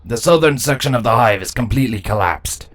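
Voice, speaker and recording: A man's voice: medium pitch, animated, a little fast, fairly bright tone. A man voicing a dutiful, anxious changeling drone captain who speaks deferentially in a fantasy story reading. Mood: dutiful, reporting. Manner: deferential, hesitant, nervous. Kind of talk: dramatic fan fiction audio reading.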